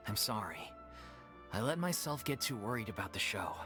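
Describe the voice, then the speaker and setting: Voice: medium pitch, big pitch swings, moderate pace, natural voice. Actor doing a nervous, apologetic troupe guy, voice rising and falling a lot, faint game music. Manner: anxious and apologetic. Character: worried theater troupe member.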